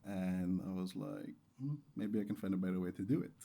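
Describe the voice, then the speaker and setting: A man's voice: deep voice, brisk, creaky, dark tone. A young man from Qatar telling his story as an interview guest, earnest and enthusiastic, repeating phrases for emphasis. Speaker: interview guest, startup founder.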